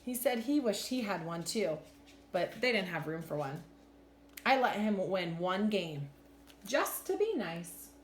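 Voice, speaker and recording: A woman reads a children's storybook with very lively, swinging intonation. Her manner is animated and expressive, as in a teacher's storytime. The room is echoey, with faint noise behind her. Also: mid-range voice, a little slow. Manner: animated, clearly acts out lines. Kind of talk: expressive storybook read-aloud.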